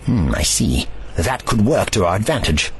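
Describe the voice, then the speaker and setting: Self-assured eccentric time traveller, played by a man, lower register, formal phrasing, audio drama, faint noise behind. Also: big pitch swings, moderate pace. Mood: calm, decisive. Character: brisk, calmly scheming eccentric male time traveller.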